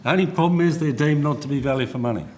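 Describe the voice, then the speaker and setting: An Australian man questioning officials as a senator in a hearing, with a blunt, halting, sardonic manner. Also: fairly high pitch, darkish tone, a little hiss or hum. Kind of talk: parliamentary questioning. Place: committee hearing room.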